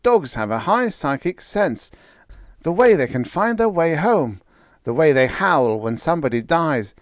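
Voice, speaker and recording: A man's voice: medium pitch, huge pitch swings, a little slow, no room sound, clean studio sound, pitched above the performer's own voice. A man voicing a scared but dogged young man who talks like a salesman. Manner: brash, folksy.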